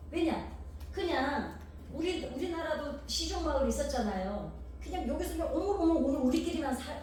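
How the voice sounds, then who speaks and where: A woman's voice: sits high, dark tone, slight background noise. A middle-aged Korean woman with a Jeolla-tinged accent preaching a sermon, asking the congregation questions and telling homely stories. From Gangwon Province, South Korea. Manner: poses rhetorical questions to the congregation; homely, anecdotal storytelling. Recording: echoey hall from far off.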